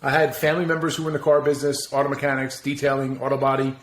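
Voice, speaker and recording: A man's voice: medium pitch, level tone, racing, slight background noise. A man in his forties chatting as a podcast guest, his voice sitting right in the middle of the usual range. He talks loosely, swears often and laughs at his own stories. The recording has a noticeable roomy echo. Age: 40s. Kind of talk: casual interview chat.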